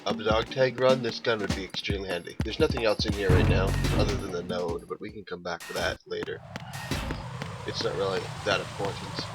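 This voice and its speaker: A man's voice: low voice, steady tone, brisk. A man giving casual let's play commentary on a video game, explaining things in an offhand way. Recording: loud game music under the voice. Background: loud game music and sounds.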